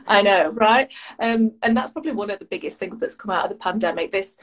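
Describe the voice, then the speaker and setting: A woman's voice: mid-range voice, a little fast. A woman with an English accent, a guest on a podcast, chatting enthusiastically over a video call and restarting her words. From United Kingdom. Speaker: guest coach. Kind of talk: casual chat.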